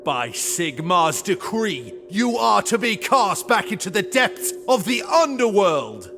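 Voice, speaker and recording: An actor playing a stern holy warrior in a fantasy game, speaking in solemn, formal proclamations. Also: male voice, high-pitched, very animated, slow pace, music in the background, exaggerated voice. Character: stern holy warrior delivering divine judgement. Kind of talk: fantasy game cutscene acting.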